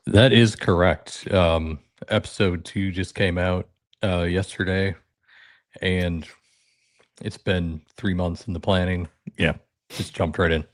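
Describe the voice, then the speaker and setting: A man with a deep radio voice, a podcast guest chatting easily about his soccer club. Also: steady tone, slow, no room sound. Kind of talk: casual podcast chat.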